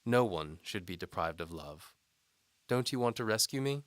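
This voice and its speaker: A man's voice: low-pitched, lively intonation, normal speed, fairly bright tone, no room sound, voice pushed up. A man voicing a seductive, haughty noblewoman in an audio drama, coy and imperious. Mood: teasing, seductive.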